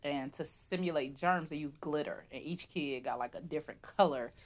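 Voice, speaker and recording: A woman co-hosting a casual chat show, her voice a touch low and nasal from a stuffy head cold. She tells stories in an animated, chatty way and laughs easily. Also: normal speed. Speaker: podcast co-host.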